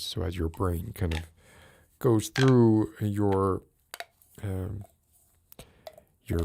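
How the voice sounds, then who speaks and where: A man in his late forties with a very low voice chats on his livestream at an unhurried pace. He muses and rambles wryly and stops to greet viewers in the chat by name. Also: vocal fry, darkish tone. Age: 40s. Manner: musing, rambling, wry. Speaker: livestream host.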